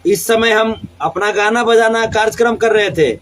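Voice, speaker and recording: A man's voice: high-pitched, moderate pace, a bit bright. A man with a Bhojpuri accent delivering an angry, defiant live rant, combative and repeating phrases for emphasis. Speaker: singer.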